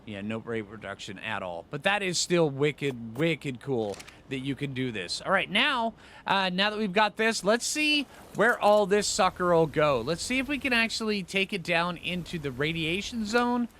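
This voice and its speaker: A man's voice: on the high side, sing-song, normal speed, pushed and bright, a little hiss or hum. A man giving casual gameplay commentary, thinking aloud in informal, slangy phrases with rambling asides. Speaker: gaming channel host.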